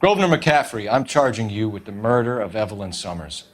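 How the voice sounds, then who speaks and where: An actor playing a stern, relentless police detective in a dramatic 1940s crime game. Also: male voice, on the low side, big pitch swings, brisk, soft background music. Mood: grim, accusing. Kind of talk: dramatic video game acting.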